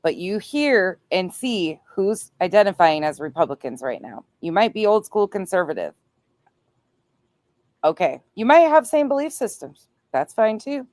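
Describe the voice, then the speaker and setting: A woman's voice: mid-range voice, darkish tone, dry recording. A woman giving casual reaction commentary in an emphatic, colloquial way.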